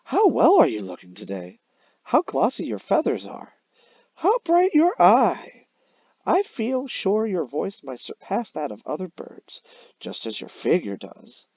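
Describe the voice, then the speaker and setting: A man voicing a sly, flattering storybook fox with exaggerated, drawn-out sweet talk. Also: high-pitched, breathy edge, no room sound, lo-fi sound, pitched above the performer's own voice, exaggerated voice. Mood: gushing flattery.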